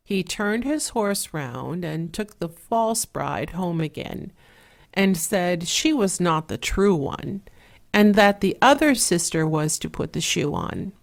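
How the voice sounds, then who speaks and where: Very slow, soft-spoken woman storyteller, surround panning. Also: fairly low pitch, gravelly. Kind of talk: soft-spoken fairy tale narration for relaxation.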